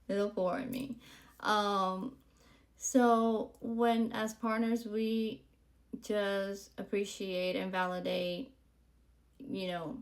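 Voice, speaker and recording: A woman in her forties from Puerto Rico talks straight to her listeners as a podcast host. Her voice has a slight gravelly fry at mid pitch, and she speaks candidly, with plenty of ums and likes. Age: 40s. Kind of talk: casual monologue to camera.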